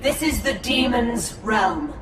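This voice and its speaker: High, imperious alien seer. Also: female voice, lively intonation, takes it slowly. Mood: solemn, grave. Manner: grave, imperious, formal declaiming. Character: solemn, commanding female alien seer, vengeful and proud. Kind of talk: video game cutscene acting. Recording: echoey, distant, under loud music.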